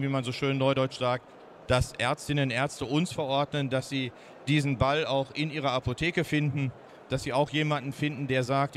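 A man in his fifties with a Ruhr German accent, pitching his startup's product at a trade fair booth into a handheld mic, folksy and lively with many fillers. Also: slight background noise. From Ruhr area, Germany. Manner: lively, folksy, fillers, speeds up when proud. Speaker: startup founder presenting.

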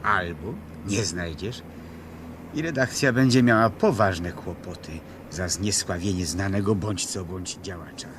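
An actor playing a calm, confident treasure-hunting sleuth in a 1970s Polish radio drama, reasoning deliberately. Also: male voice, deep voice. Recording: noisy 1970s radio play recording.

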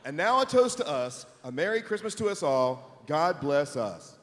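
A man playing a kindly, soft-hearted poor father in a staged radio drama, halting in his delivery. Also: a little high, very animated. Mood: warm. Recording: reverberant theatre hall.

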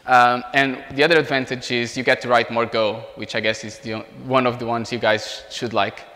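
A man in his thirties with a Portuguese accent, giving an informal technical presentation as a software engineer. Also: mid-range voice, flat delivery, a little fast. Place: indoor meetup room beside a large screen. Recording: reverberant hall sound.

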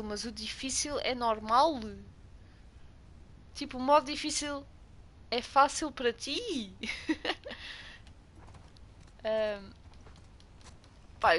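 A woman's voice: a little high, slow pace, pauses often, sharp tone. A young woman from Portugal with a strong European Portuguese accent, streaming a game and chatting with viewers. She gets jumpy and swears when startled. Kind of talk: casual gameplay commentary.

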